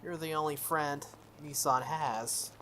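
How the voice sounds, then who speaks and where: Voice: medium pitch, steady tone, measured pace, fairly bright tone, slight background noise, lightly acted. A game player voicing a shy, polite schoolgirl from a visual novel, hesitant and apologetic. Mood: timid, polite.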